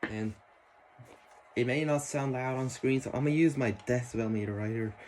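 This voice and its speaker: A man hosting a casual hands-on how-to video indoors, explaining in a halting, informal way. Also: mid-range voice, darkish tone. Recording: noisy room with clicks and handling sounds.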